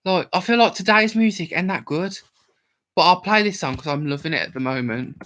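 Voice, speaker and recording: A young man with a West Midlands twang rambles through a livestream while drunk. He answers viewers' comments aloud in loose, chatty bursts. His voice is bright and forceful. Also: high-pitched, lively intonation, fairly quick. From Worcestershire, England. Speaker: livestream host. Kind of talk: casual livestream chat with viewers.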